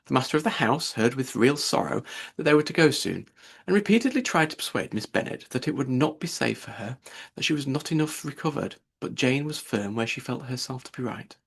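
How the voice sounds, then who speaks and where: A man reads a classic novel aloud as an audiobook narrator. His voice is mid-pitched with a gravelly creak and a husky, rough-edged tone. The delivery is scripted literary narration.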